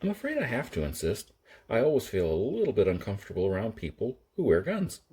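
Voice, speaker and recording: A man's voice: on the low side, huge pitch swings, fast talker, mellow, breathy edge, exaggerated voice. A man voicing a wary, short-tempered professional gambler in a science fiction audiobook, with an acid, defensive delivery. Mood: wary, insistent.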